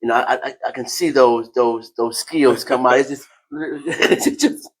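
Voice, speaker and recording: A man hosting a podcast in a casual conversational style, animated, often repeating phrases and breaking easily into laughter. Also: medium pitch, a little slow, low-quality recording. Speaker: podcast host.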